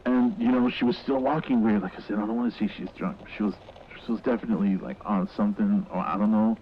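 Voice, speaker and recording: An actor playing a nervous, flustered mall escort giving halting, rambling witness testimony in an audio horror drama, on a lo-fi tape recording. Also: male voice, on the low side, fairly even intonation. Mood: disgusted, uneasy. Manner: halting, stammering, rambling, repeats himself. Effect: lo-fi tape recording filter. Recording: muffled, noisy lo-fi witness tape.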